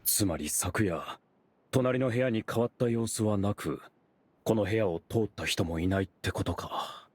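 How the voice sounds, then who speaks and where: A man playing a serious, blunt investigator who questions suspects in a Japanese game, with a husky voice. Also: lowish voice, measured pace, a little hiss or hum, lightly acted. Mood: serious, probing. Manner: blunt, inquiring.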